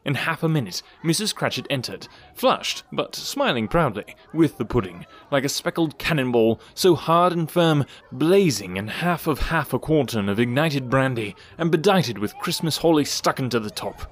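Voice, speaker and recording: A man narrating a classic storybook tale in an expressive, theatrical storytelling manner. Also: medium pitch, moderate pace, few pauses.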